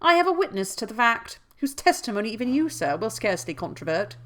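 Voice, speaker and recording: A woman voicing a calm male lawyer who reads formally and deliberately in an official nasal voice. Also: on the high side, voice pushed up. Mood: firm, formal.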